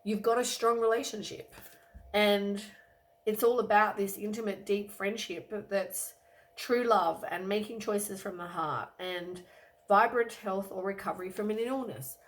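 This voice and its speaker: A woman with a croaky voice from a cold, giving a relaxed, rambling tarot reading to the camera with lots of ums. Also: medium pitch, unhurried. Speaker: tarot reader.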